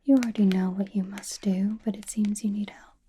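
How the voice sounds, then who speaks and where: Casting: woman as knowing, gently teasing sorceress, ASMR roleplay. Dark, breathy whisper, even and soothing. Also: medium pitch, a little hiss or hum, lightly acted. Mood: gentle, knowing. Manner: slow, deliberate, soothing. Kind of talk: ASMR roleplay, personal attention.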